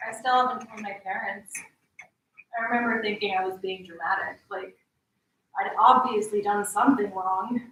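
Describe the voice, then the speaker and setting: Actress as candid reassuring young woman, medium pitch, very echoey stage reading. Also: steady tone, moderate pace, fairly bright tone, lightly acted. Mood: candid, reflective. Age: young adult. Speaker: actor. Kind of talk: staged play reading, naturalistic drama. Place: large echoey indoor room. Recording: very echoey hall, distant mic.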